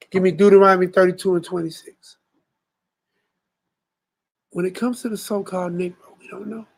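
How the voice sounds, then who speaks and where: Animated man leading a scripture talk. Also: high-pitched. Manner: animated, slangy, acts out scenes. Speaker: talk show host. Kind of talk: casual group talk on scripture.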